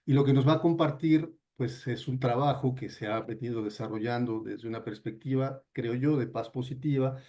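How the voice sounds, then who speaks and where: Mellow-voiced man making announcements. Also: medium pitch. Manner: halting, many fillers and restarts. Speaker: seminar organizer making announcements.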